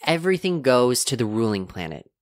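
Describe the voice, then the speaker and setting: Woman voicing a low-pitched, polite robot helper. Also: big pitch swings, darkish tone, no echo, studio quality. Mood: earnest, explaining. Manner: formal, measured, careful phrasing. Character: polite, precise robot AI helper, a little unsure. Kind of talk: science fiction audio drama acting.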